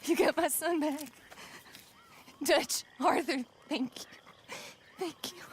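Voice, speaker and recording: A woman's voice: high-pitched, slow, slight background noise. A woman playing a passionate, tender young frontier mother in a video game drama. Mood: overjoyed, tearful relief.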